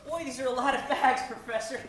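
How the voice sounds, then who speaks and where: A woman's voice: high-pitched, fast, recorded from a distance, a loud crowd, lightly acted. A woman playing an eager, naive, plucky young boy sidekick in an old-time radio comedy, earnest and gee-whiz. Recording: roomy stage recording with music.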